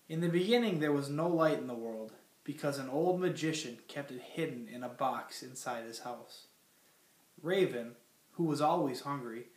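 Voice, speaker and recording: A young man from Minnesota with a cold, teaching a classroom lesson and reading a story aloud in a plain, teacherly way with many fillers. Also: fairly high pitch. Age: young adult. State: has a cold. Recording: roomy sound from far off.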